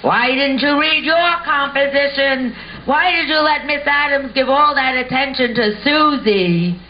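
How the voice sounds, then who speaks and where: A man voicing a curious, naive young male dinosaur in a puppet show, questioning everything slowly. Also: very high-pitched. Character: curious, ignorant young male dinosaur who wants attention. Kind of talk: puppet show character acting. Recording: dull lo-fi sound with faint noise.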